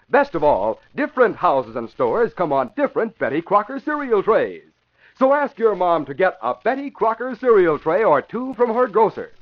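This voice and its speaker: An American man announcing and narrating in 1950s radio style, with polished, emphatic salesmanship. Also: sits high. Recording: dull vintage radio broadcast.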